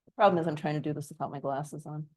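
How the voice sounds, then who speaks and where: A woman with a somewhat low voice delivers a library update to a township board. She talks in a chatty, easygoing way with little self-deprecating asides, holding a microphone in a meeting room. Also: fairly even intonation, very fast, darkish tone. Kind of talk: presentation at a public meeting. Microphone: handheld microphone.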